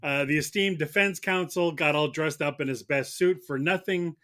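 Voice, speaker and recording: A man's voice: on the high side, normal speed, pushed and bright. A man playing a prosecutor in a comic mock trial, making his case with wry, mock-serious lawyerly rhetoric. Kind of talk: semi-scripted comic courtroom argument.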